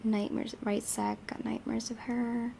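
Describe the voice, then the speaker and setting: A woman's voice: on the high side, little pitch movement, a little slow, vocal fry, dark tone, a little hiss or hum. A woman hosting a let's play, reading a visual novel aloud and adding casual commentary. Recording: game music behind the voice.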